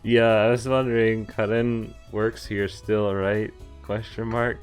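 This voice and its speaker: A man's voice: on the low side, level tone, slow pace, smooth. A man streaming a game, reading visual novel text aloud and reacting casually. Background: game music.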